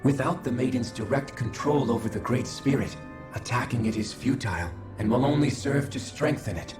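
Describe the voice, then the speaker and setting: A man's voice: medium pitch, normal speed, natural voice. An actor playing a cold, calculating male observer figure in a video game cutscene, speaking formally and without feeling. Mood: detached. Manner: formal, detached, clinical. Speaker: game character. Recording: echoey, with game music behind.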